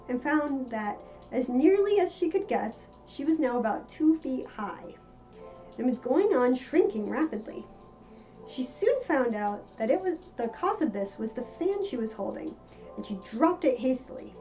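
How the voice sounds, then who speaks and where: A woman reading a children's story aloud in a theatrical, expressive manner. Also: sits high. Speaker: storybook reader, cosplayer in character. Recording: muffled lo-fi with music behind. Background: faint music.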